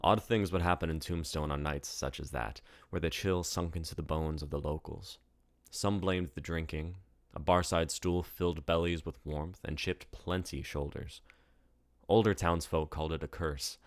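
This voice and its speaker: A man's voice: very deep voice, fairly even intonation, moderate pace, dry recording, studio quality. A man reading a story aloud as its author, in scripted narration.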